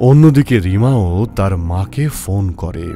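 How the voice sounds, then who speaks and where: A man's voice: lowish voice, lively intonation, moderate pace. A man narrating a scripted horror story in a dramatic storytelling style. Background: music.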